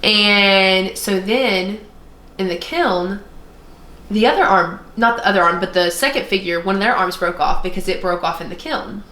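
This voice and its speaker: Bright-voiced woman chatting in an echoey room. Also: mid-range voice, moderate pace, slight background noise. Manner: informal, often voices quoted remarks. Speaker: podcast co-host, former art student. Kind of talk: casual chat, animated personal storytelling. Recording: roomy, echoey sound.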